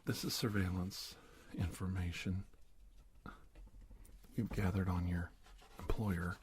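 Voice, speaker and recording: A man playing an earnest, hesitant secret-agent doctor in a soft-spoken ASMR roleplay, halting, confiding and gently coaxing. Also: deep voice, steady tone, a little hiss or hum. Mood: hushed, confiding.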